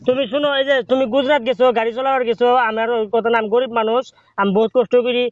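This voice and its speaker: A man's voice: extremely high pitch, fairly even intonation, racing, dry recording, narrow, tinny audio. A man making a prank call, arguing insistently and complaining in a repetitive, pleading way over music. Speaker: prank caller.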